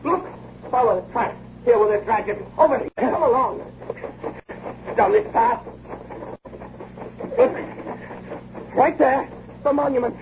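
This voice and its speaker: An actor playing a confident but excitable gentleman inventor, with a clipped, urgent, theatrical delivery from vintage radio drama. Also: very high-pitched, a little slow, creaky, long pauses, exaggerated voice. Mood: frantic. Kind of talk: 1940s-50s radio drama acting. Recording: dull, noisy vintage radio recording.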